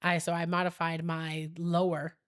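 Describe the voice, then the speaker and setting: A woman talks in a relaxed, rambling way as a podcast co-host, often laughing. Her voice sits a little low and sounds a bit stuffy from a sinus infection. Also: normal speed, clear, resonant tone. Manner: chatty, rambling, laughs easily. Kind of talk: casual chat.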